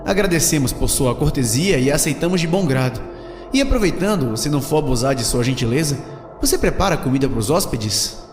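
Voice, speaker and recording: A male actor playing a friendly young traveler and peacemaker in a horror audiodrama, with a Brazilian accent and an expressive, colloquial delivery. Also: medium pitch, brisk, echoey room, long reverb tail, over music, natural voice. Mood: polite, placating.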